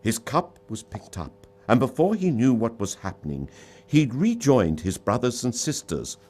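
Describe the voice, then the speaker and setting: A man reading a story aloud in an expressive, theatrical storybook style. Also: mid-range voice, moderate pace, husky. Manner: theatrical, dramatic delivery. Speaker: story reader.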